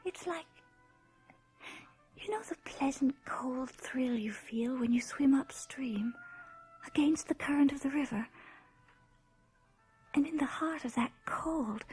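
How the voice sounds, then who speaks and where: An actress playing a frail, entranced young gentlewoman in a radio drama, with a breathy, wistful delivery. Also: high voice, slow, pauses often, soft background music, rough recording. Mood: dreamy, entranced. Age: young adult. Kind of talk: 1980s radio drama acting.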